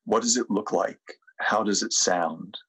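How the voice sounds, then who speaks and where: A middle-aged American man speaking reflectively as a podcast guest, measured and deliberate, with pauses. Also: lowish voice, a bit bright, slightly rough. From United States. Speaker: podcast guest, sustainability consultant. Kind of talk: reflective interview talk and guided presentation.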